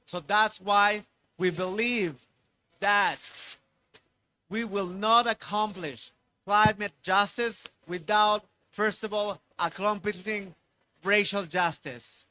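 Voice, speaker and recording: A man moderating a panel discussion, speaking haltingly and repeating words. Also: high-pitched, sharp tone, slight background noise. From Ecuador.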